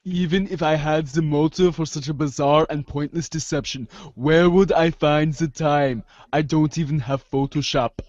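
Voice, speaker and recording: A man's voice: fairly high pitch, steady tone, moderate pace, few pauses, a little mellow, no echo, lightly acted. A man playing a cool, sardonic teenager with a precise, wordy, unruffled manner in an amateur webcomic reading. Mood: dry, defensive. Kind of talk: amateur readers' theater acting. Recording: dull lo-fi microphone sound.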